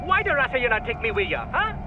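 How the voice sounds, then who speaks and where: Game role: eager streetwise kid, Guyanese accent, very high pitched, over noisy backing. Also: male voice, very animated, quick pace, phone-quality sound. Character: plucky, eager young boy, streetwise orphan. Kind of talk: video game cutscene acting.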